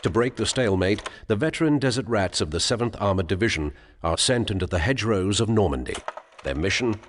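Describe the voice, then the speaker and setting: A man playing a solemn narrator in a war video game, reading documentary-style history in a measured, formal way. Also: fairly low pitch, expressive, big-room sound, slight background noise, lightly acted. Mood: grave, measured.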